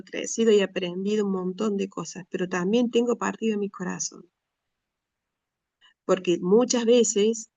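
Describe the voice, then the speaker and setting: A middle-aged woman with an Argentine Spanish accent gives an earnest, measured personal talk with frequent pauses and restarts. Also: medium pitch, no room sound, highs cut off. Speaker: speaker, retired school director.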